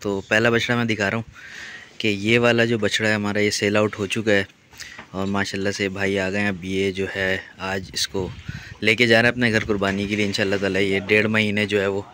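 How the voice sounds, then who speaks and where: A man's voice: lowish voice, flat delivery, fairly quick, bright, forceful tone, slight background noise. A man with a Pakistani Urdu accent, chatting casually to his viewers while walking around a cattle farm outdoors, filmed on a phone. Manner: chatty, addresses viewers directly, many fillers. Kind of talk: casual vlog narration.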